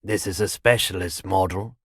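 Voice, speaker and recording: An actor playing a laid-back, world-weary pawnshop owner in a video game, husky, soft-spoken and wistful, with an unhurried, low-key delivery. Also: male voice, fairly even intonation, no room sound, clean studio sound, full character voice. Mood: businesslike, relaxed. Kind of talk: video game character dialogue.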